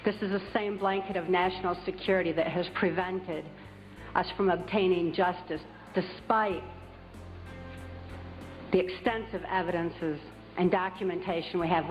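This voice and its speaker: A woman giving a public speech as testimony, speaking in a deliberate, emphatic way. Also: lowish voice, soft background music. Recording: dull recording with long hall reverb.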